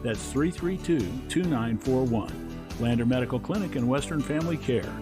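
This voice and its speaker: Mid-pitched male radio ad voice. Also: normal speed, music in the background. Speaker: ad announcer. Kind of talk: scripted radio ad read.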